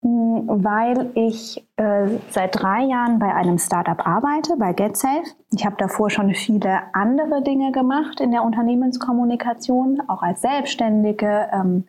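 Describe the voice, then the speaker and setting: A woman's voice: on the high side, a little mellow. A woman being interviewed as an author, thinking aloud with frequent hesitations and fillers. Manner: thoughtful, many hesitations and fillers. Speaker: author and guest. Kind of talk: conversational podcast interview.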